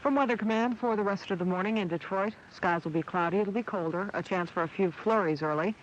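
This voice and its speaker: News anchor: male, high voice, scripted bulletin delivery, dull AM radio sound with slight noise. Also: fast, fairly bright tone. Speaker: radio news and weather announcer. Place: radio studio. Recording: dull, faintly noisy AM radio recording.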